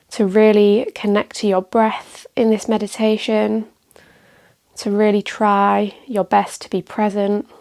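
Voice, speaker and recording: A woman guides a meditation as a teacher, speaking calmly and gently in measured phrases with pauses. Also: mid-range voice, creaky. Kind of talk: guided meditation, calm instruction.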